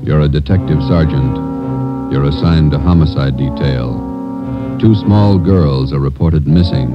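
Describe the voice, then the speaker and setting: An extremely deep male narrator, solemn and clipped, in vintage radio style. Loud music sits behind the muffled recording. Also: unhurried. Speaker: program narrator.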